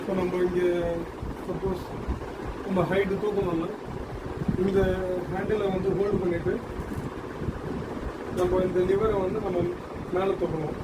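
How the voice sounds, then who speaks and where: A man with a notably high voice and a flat delivery walks through a product demonstration. He, drops in English terms and often restarts phrases in a halting way. Steady, clearly audible noise sits behind him. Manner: halting, repeats words while explaining.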